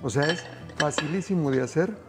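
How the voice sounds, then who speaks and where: A man's voice: on the high side, a little fast, over music. A middle-aged man hosting a cooking show, cheerfully walking viewers through a recipe. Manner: enthusiastic, addresses viewers directly. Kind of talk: cooking demonstration. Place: TV studio kitchen set.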